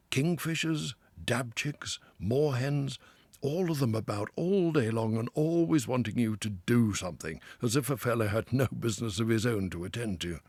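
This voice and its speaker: A man voicing a hearty, self-assured male water rat who talks loudly and with relish. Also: mid-range voice, slightly rough, no room sound, voice pushed up. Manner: loud, confident, lively, with chuckles.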